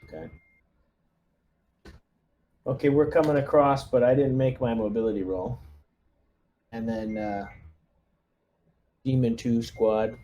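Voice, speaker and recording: A man's voice: mid-range voice, takes it slowly, long pauses, mellow, dull audio. A man chatting casually as a player in a tabletop game. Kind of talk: casual chat over a game.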